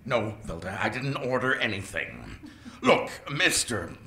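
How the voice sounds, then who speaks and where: An actor playing a sinister, scheming older male mad scientist who talks in a slow, sly drawl with purring pauses. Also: lowish voice, big pitch swings, bright, forceful tone, full character voice. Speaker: research scientist. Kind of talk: amateur radio-play acting. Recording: roomy echo, faint hiss.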